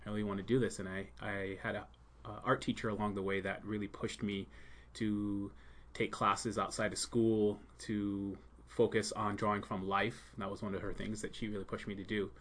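Man, middle-aged, Jamaican-born, lowish voice; soft-spoken interview guest, reflective with frequent ums. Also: flat delivery. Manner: soft-spoken, many ums, thoughtful pauses. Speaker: school leader and art teacher. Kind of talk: casual podcast interview.

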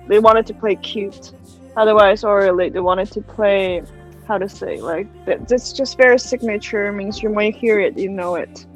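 Soft-spoken woman, Chinese native speaker. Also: medium pitch, poor audio. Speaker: singer, interview guest. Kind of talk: casual interview talk.

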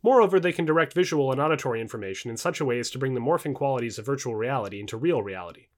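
Man acting male futurist, confident, explanatory, correcting, animated pitch, book dialogue. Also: rapid-fire, close to an everyday voice. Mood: explaining.

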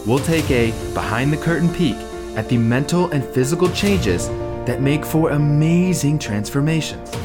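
A man who coaches fitness, reading a scripted, upbeat introduction to his show. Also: fairly high pitch. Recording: music bed under voice.

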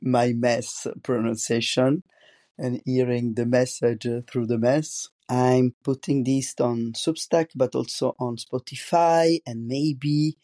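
A middle-aged man with a strong Italian accent, speaking English as a second language, hosting a solo podcast and talking haltingly with many restarts. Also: medium pitch, little pitch movement, mellow. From Italy. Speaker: podcast host, comics artist.

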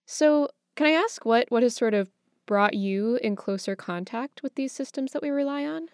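A woman's voice: a little high, lively intonation, moderate pace, no echo, clean studio sound. An American woman hosting a casual interview, speaking in a reflective way with tentative phrasing. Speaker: interviewer, host.

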